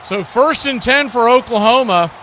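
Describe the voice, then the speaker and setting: A man hosts a live football stream as play-by-play announcer. His voice sits high for a man and has a bright, forceful edge. He talks in short calls with folksy asides, over faint background noise. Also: animated, normal speed.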